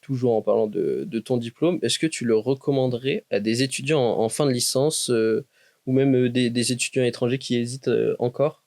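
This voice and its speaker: A man's voice: medium pitch, brisk, a little mellow, no room sound. A man interviewing a student guest for a university podcast, asking casual questions with frequent hesitations.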